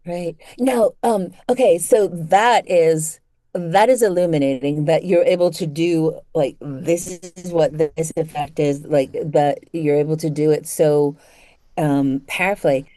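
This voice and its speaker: A woman's voice: sits low, fairly even intonation, unhurried, warm tone, no room sound. A woman hosting a conversational interview, thoughtfully building her questions in short, repeated phrases. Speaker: interview host.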